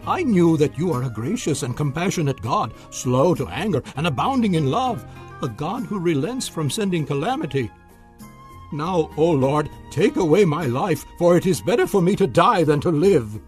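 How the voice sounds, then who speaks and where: A man's voice: a little high, big pitch swings, normal speed, darkish tone. A man playing an anguished, fervent Hebrew prophet in a dramatized Bible reading, declaiming solemnly. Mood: bitter complaint. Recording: echoey long reverb, faint music behind.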